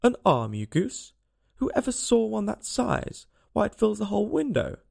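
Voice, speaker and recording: Storybook rabbit, performed by a man: irate little master, imperious and scolding, animated swings, gravelly edge. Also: fairly high pitch, fairly quick. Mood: angry, bossy. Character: angry, bossy rabbit master ordering servants about.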